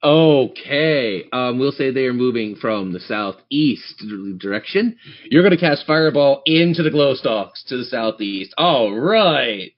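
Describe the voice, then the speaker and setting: A man acts as game master for a tabletop campaign. He improvises descriptive narration and turns to address his players directly. His pitch is average, and the recording is a little dull and lo-fi. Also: lively intonation, measured pace.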